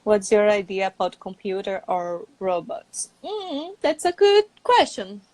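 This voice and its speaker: A woman's voice: medium pitch, sing-song, measured pace, darkish tone, no room sound. A woman with a Brazilian accent, a native Portuguese speaker, hosting a casual English-learning live chat.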